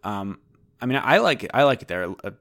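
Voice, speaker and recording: A young man in casual chat who restarts his sentences and stumbles along. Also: mid-range voice. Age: young adult. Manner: halting, restarts often, lively.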